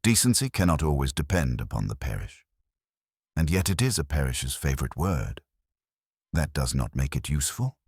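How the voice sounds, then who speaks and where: A man's voice: a bass voice, sing-song, normal speed, darkish tone, breathy edge, dry recording, clean studio sound, heavily acted. A man voicing a prim, guarded small-town woman in an audiobook mystery, with clipped, proper and tartly reserved speech. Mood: prim, defensive.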